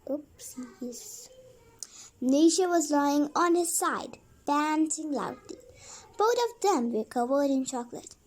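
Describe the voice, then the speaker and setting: Slow, very high-pitched female storybook reader. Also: animated, a little mellow. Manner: halting reading with repeated and corrected words. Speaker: read-along storyteller. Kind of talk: reading a children's storybook aloud.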